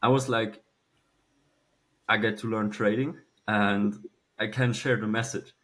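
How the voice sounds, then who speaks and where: A man, telling a personal story casually and haltingly, with some swearing. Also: on the low side, fairly even intonation, fairly bright tone. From Switzerland. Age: early 20s. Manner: halting, informal, swears freely. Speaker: podcast guest, young entrepreneur.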